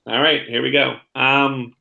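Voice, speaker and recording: A man's voice: medium pitch, little pitch movement, a little slow, creaky, pushed and bright. A man chatting casually and enthusiastically about board games, speaking with emphasis as a guest on a talk show. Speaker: podcast guest presenting a top ten list. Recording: roomy echo on the voice.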